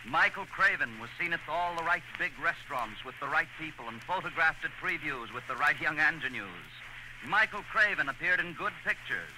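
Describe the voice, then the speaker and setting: A man's voice: a little high, animated, a little fast. A man giving earnest, theatrical scripted narration in a 1940s radio style. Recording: noisy old radio broadcast.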